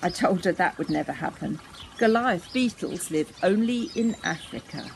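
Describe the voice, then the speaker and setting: Animated older woman reading a children's story. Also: on the low side, moderate pace, gravelly, slight background noise. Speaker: storybook reader. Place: outdoors in a garden.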